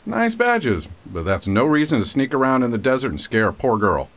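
Small-town sheriff: folksy, wry, grumbling but kind, hugely animated mid-range voice, radio drama. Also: male voice, mid-range voice, fairly quick, slight background noise. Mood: wry, protective.

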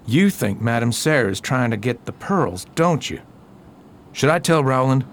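A man playing a courteous, wary gentleman investigator in a radio drama, inquisitive and concerned. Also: medium pitch, expressive, normal speed, a little hiss or hum, close to an everyday voice. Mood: curious. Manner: inquisitive, varied intonation. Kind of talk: amateur radio drama acting.

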